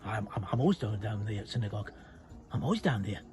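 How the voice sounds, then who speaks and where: A storyteller playing sniffy, self-righteous townsfolk trading gossip, the voice bouncing up and down with indignation. Quick and huffy, with music running behind. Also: medium pitch, animated, darkish tone, lightly acted. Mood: indignant.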